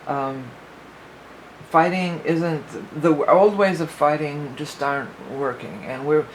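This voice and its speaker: A woman's voice: low-pitched, takes it slowly, slight background noise, poor audio. A woman giving a casual spoken astrology forecast as a psychic reader, rambling and thinking aloud with many fillers, her voice a little worn.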